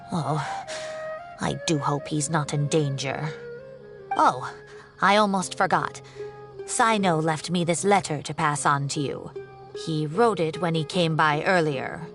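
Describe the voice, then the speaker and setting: A gentle, worried professor; deep for a woman, soft delivery, score behind. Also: unhurried. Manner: fretful, gentle. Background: game music.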